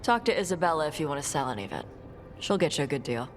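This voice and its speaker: A woman voicing a blunt young dragon hunter who talks in short, gruff, dismissive lines in a game cutscene. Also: deep voice, expressive, very fast, slight background noise. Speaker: treasure hunter. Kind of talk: video game cutscene dialogue.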